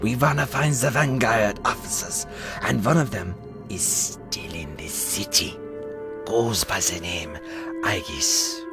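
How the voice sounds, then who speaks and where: An actor playing a grumbling, wry soldier companion in a fantasy audio drama. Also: male voice, fairly low pitch, takes it slowly. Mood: low, confiding. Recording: loud music behind the voice.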